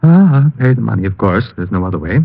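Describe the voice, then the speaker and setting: An actor playing a fussy, formal gentleman, flustered and anxious, in an old radio drama. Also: fairly low pitch, sing-song, fast, vocal fry, slightly rough, exaggerated voice. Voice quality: clicking false teeth. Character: fussy, anxious, formal male blackmail victim. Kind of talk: 1950s radio drama acting. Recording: dull old radio recording.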